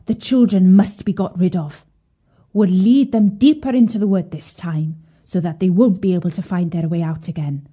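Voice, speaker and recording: A woman voicing a cruel, scheming mother in a children's storybook, with a sharp, bossy delivery. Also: on the low side, moderate pace, lightly acted.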